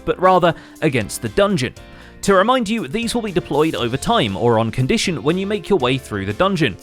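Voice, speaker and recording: A man narrates a scripted gaming recap as its host. His voice sits a little high and swings widely in pitch, with a wry delivery over faint music. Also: a bit bright.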